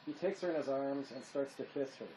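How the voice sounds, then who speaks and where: A man's voice: mid-range voice, brisk, mellow, rough recording. A man reading stage directions as scripted narration. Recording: clear background noise.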